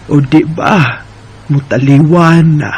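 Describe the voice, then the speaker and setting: A man voicing a frail, dying elderly wife in a Bisaya radio drama, with a Filipino Bisaya accent and a weak, pleading, drawn-out delivery. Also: fairly high pitch, a little mellow, husky, full character voice. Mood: tender, pleading.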